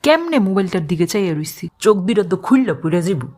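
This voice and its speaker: A woman's voice: fairly low pitch, big pitch swings, quick pace, darkish tone, soft background music. A woman with a Bangladeshi accent playing a stubborn, cheeky, whiny child in a comic cartoon. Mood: stubborn grumbling.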